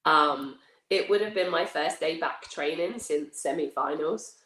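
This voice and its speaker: Croaky middle-aged woman with a cold, roomy sound. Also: deep voice, unhurried. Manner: laughs easily, relaxed. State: croaky from a cold, losing her voice. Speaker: guest, athlete and gym coach. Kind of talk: casual interview chat.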